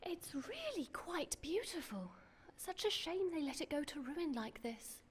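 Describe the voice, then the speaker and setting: A woman playing a polite, wary young sweetheart in a radio play, speaking in a soft, genteel manner. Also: sits high, big pitch swings, unhurried, a little hiss or hum. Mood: dreamy, intimate.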